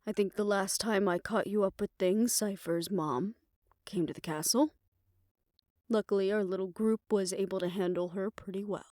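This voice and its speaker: A woman playing a weary, proud aging fixer talking softly and wryly to her lover in an intimate roleplay audio drama. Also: mid-range voice, fairly even intonation, moderate pace, no echo, clean studio sound, lightly acted. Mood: wry, confiding.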